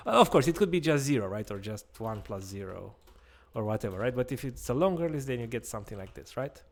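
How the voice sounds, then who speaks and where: A man's voice: medium pitch, sing-song, quick pace. A man in his thirties with a Romanian accent, patiently explaining code step by step in a live-streamed lesson and often asking "right?". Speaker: teacher. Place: small room at a desk.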